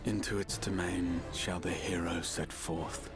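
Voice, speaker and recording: Deep, slow, portentous male narrator. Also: little pitch movement, over music. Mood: grave, portentous. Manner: grave, portentous. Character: solemn male narrator of a doom-laden epic tale. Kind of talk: scripted dramatic game narration.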